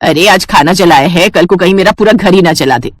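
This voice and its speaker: A woman's voice: fairly low pitch, fairly quick, no room sound, lightly acted. A woman voicing a stingy, bossy older mother-in-law with a nagging, sarcastic manner in a moral story. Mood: angry, nagging.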